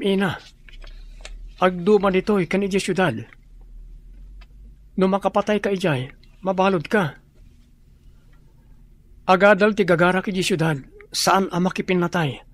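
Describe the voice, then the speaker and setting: High-voiced, reluctant young son. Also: male voice, steady tone, unhurried, long pauses, no echo. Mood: fearful, pleading. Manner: earnest, pleading. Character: reluctant, God-fearing young student son. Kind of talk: Ilocano radio drama acting.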